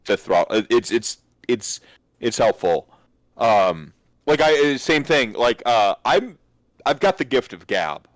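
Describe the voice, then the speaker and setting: Profane, animated man on a dry mic. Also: mid-range voice, slow pace, no room sound. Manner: animated, swears freely, acts out quoted voices. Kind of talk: casual hobby stream chat.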